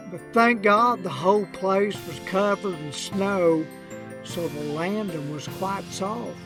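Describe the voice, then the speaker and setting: A woman voicing a crusty, wryly unrepentant old redneck man, telling a comic rhymed storybook tale in a folksy, drawn-out way. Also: medium pitch. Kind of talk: comedic rhymed storybook narration.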